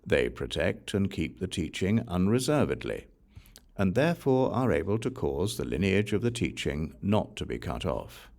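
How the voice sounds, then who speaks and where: A man reading scripture aloud as an audiobook narrator, solemn and formal, in measured phrases that fall away at the ends. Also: deep voice, sing-song, creaky, darkish tone. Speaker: audiobook reader.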